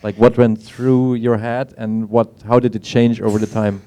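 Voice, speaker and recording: A man moderating a panel, asking casual questions in an informal, halting way, often rephrasing as he goes. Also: mid-range voice, little pitch movement, warm tone, clean tone.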